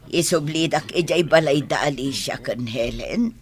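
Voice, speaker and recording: Woman acting a slow, plaintive injured old mother. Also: low voice. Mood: pained, weary. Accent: Filipino. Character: injured elderly mother, pained, plaintive, grateful to neighbours. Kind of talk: Ilocano audio drama acting.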